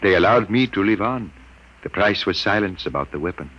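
An actor playing a sixty-two-year-old scientist who speaks earnestly and explains things carefully, in an old radio drama. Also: male voice, low-pitched, expressive, fairly quick, a bit bright, low-quality recording. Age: 60s. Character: aging Earthman scientist, earnest and burdened. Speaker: elderly scientist father. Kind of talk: 1950s radio drama acting.

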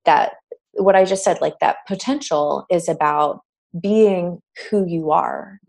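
A woman coach as a podcast guest, speaking in a reflective, warm way about her work. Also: lowish voice, slow pace, creaky. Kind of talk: interview conversation.

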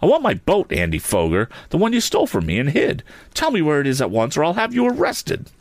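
A man's voice: sits low, wildly swooping pitch, moderate pace, dry recording, full character voice. A man voicing an angry young inventor hero in a storybook, indignant and demanding. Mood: furious, demanding.

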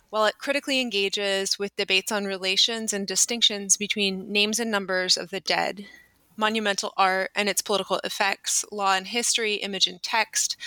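A woman podcast host who introduces her guest with a measured, formal reading, then asks interview questions. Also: medium pitch, sharp tone, no room sound.

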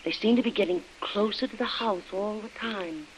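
A woman's voice: medium pitch, normal speed, lightly acted. A woman playing an anxious young wife who earnestly recounts events in a vintage radio drama. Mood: uneasy recollection. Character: Helen, anxious young wife recalling her ordeal. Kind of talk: 1940s radio drama acting. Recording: muffled, noisy old radio recording.

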